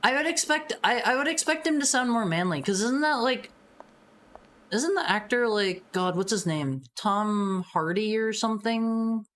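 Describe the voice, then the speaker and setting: A woman's voice: on the high side, animated, normal speed, fairly bright tone. A woman streaming a game, chatting casually and calling out short commands.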